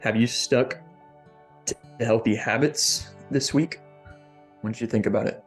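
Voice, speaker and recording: A man, a fitness coach, leading a guest's guided meditation on a podcast, slow and deliberate. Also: mid-range voice, soft background music.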